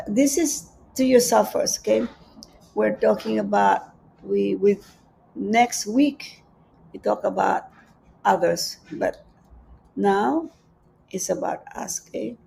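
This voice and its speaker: A woman with a Filipino accent giving a gentle, repetitive spiritual teaching on a livestream, reading out viewer comments. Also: mid-range voice, creaky, husky, rough recording. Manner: gentle, repeats phrases, reads viewer comments aloud. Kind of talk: live-streamed spiritual teaching. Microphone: livestream setup.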